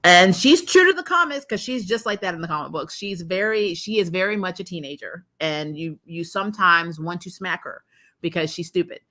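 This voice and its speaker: A woman hosting a casual, unscripted review, retelling scenes in slangy, animated talk and acting out reactions. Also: fairly low pitch, fairly quick. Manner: animated, exclaiming, acts out reactions, laughs often; informal slangy talk with frequent "like" and "you know". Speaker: host of a TV episode review show.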